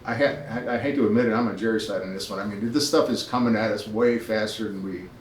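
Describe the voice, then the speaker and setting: A man's voice: fairly low pitch, fairly even intonation, fast talker. An older man, a retired air traffic controller, talking animatedly in a group discussion, repeating phrases for emphasis and checking for agreement. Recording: roomy, distant mic, faint noise.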